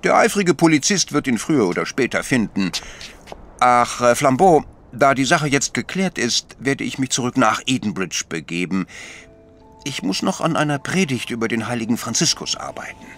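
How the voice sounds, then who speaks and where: An actor playing an astute, patient priest detective in a German audio drama, explaining deliberately with gentle chiding. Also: male voice, mid-range voice, very animated. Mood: brisk.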